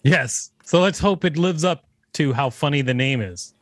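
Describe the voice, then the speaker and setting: A man's voice: fairly high pitch, expressive, moderate pace, no room sound. A man co-hosting a podcast, reading an encyclopedia page aloud and adding casual commentary. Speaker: podcast co-host.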